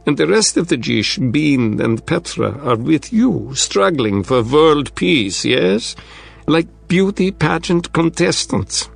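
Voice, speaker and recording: A man's voice: fairly high pitch, sing-song, takes it slowly, vocal fry, breathy edge, soft background music, pitched above the performer's own voice, heavily acted. A man voicing a sly, blunt Russian strategist with a thick Russian accent and clipped, article-dropping speech. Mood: sardonic. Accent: thick Russian accent, put on.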